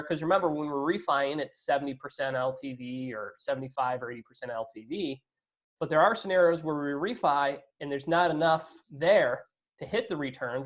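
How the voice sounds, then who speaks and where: A man speaking as an investor guest in a casual business interview, conversational, often adding "you know" and "right?" tags. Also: a little high.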